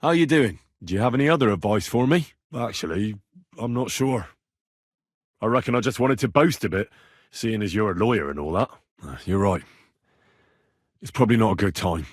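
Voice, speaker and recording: Husky young medieval everyman. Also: lowish voice, lively intonation, moderate pace, long pauses, no echo, clean studio sound. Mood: polite, inquisitive. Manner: colloquial, conversational, inquisitive. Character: earnest, plain-spoken young medieval man in a lord's service. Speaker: video game protagonist. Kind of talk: naturalistic game dialogue acting.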